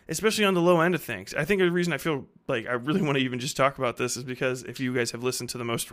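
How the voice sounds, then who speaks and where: A man's voice: mid-range voice, fairly bright tone. A man in his thirties hosting a podcast, talking off the cuff in a rambling, blunt, slangy way with plenty of swearing. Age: 30s. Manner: rambling, heavy casual swearing. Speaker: podcast host and fiction writer. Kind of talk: casual unscripted podcast monologue.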